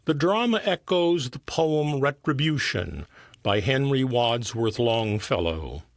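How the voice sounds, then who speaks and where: Slow, expressive male announcer. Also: medium pitch, dry recording, clean studio sound. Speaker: announcer introducing an audio play. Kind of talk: scripted narration. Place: professional recording studio.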